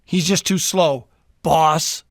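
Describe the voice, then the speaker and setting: A man's voice: on the high side, steady tone, takes it slowly, dry recording, studio quality. A man playing a blunt, matter-of-fact gangster henchman loyal to his boss in an audio drama. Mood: scornful.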